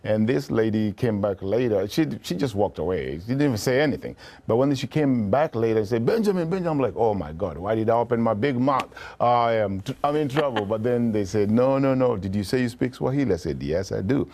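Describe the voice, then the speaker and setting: A man's voice: mid-range voice, expressive, brisk, a little mellow. A man in his fifties, an actor telling his story relaxedly in an interview. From Kenya. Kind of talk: casual interview talk.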